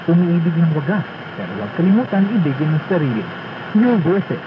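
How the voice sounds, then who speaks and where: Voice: medium pitch, sing-song, fairly quick. A commercial announcer reading a scripted radio advertisement. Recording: noisy, dull AM radio.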